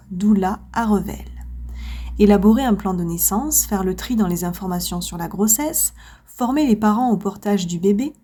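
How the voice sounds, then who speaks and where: A woman podcast host who calmly reads a scripted introduction, then interviews her guest. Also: medium pitch, breathy edge.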